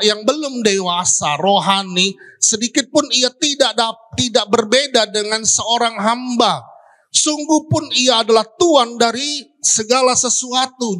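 A middle-aged male pastor preaching a sermon on a church stage into a handheld microphone, asking the congregation questions and swinging between emphatic high lines and slow, low ones. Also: bright, forceful tone.

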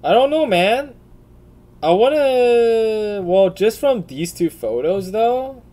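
A man giving casual off-the-cuff commentary in a crude, profane, blunt way, often deliberating out loud. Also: high voice, expressive, takes it slowly. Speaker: video creator.

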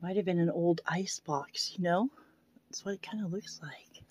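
A woman exploring as a vlogger, giving casual, unhurried walkthrough commentary and musing aloud into a handheld camera. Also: lowish voice, darkish tone. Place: in and around derelict wooden buildings. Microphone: handheld camera or phone, operator behind it.

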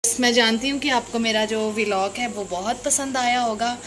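A woman's voice: a little high, normal speed, a bit bright, slight background noise. A young woman chatting to viewers in a casual home vlog, filmed on a phone at arm's length. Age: young adult. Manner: chatty, addresses viewers directly. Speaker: vlogger and Sunday school teacher. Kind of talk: casual vlog chat to camera. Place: small room at home, indoors. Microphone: phone camera at arm's length.

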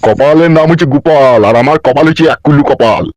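A man's voice: on the high side, fast talker, muffled recording, lightly acted. A man voicing a hot-tempered, boastful, crude village husband in a comic cartoon. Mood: scolding, boastful. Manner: blustering, scolding, crude. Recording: noisy amateur voice track with clicks.

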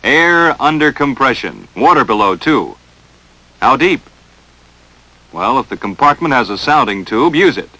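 A man's voice: medium pitch, sing-song, a little slow, pauses often, sharp tone, low-quality recording. An American man narrating a 1940s instructional film in a stern, emphatic, dramatic coaching manner, on a vintage film soundtrack.

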